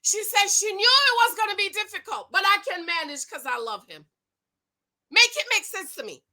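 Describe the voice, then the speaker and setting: A woman giving casual commentary as she reads and reacts to a news story, with a loud, excitable voice that carries. She talks in an animated, preachy way, with emphatic repetition and a Pentecostal preacher's energy. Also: very high-pitched, normal speed. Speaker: YouTube commentator on money and marriage.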